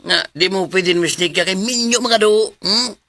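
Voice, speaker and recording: A man's voice: high-pitched, normal speed, bright, forceful tone, no echo. An actor playing a nosy, blunt male buddy in a radio drama, questioning and teasing with a Cebuano accent. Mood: prying, scolding.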